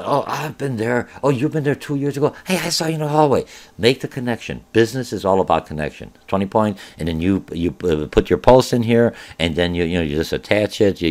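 A middle-aged man, a college business instructor whose first language is Polish, giving an informal online course orientation with many filler sounds. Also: sits low. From born in Germany.

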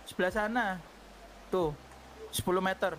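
A man's voice: sits high, moderate pace, a loud crowd, natural voice. A man playing a bossy, menacing gang boss in online game roleplay, commanding and taunting. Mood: threatening.

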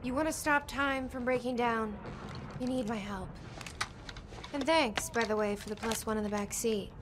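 A woman's voice: a little high, normal speed, noisy background, lightly acted. A woman acting a tough, sardonic, no-nonsense operative in a cinematic video game, terse and dry. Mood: sardonic, brusque.

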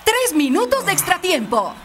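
A woman's voice: extremely high pitch, big pitch swings, moderate pace, noisy background. A woman voicing a dramatic soccer match commentator in a cartoon. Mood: intrigued. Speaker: sports commentator. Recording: loud music under commentary.

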